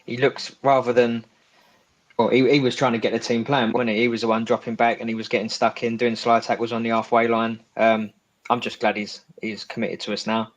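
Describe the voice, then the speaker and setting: Flat-toned agreeable podcast co-host man. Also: mid-range voice, brisk, rough recording. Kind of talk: casual football chat.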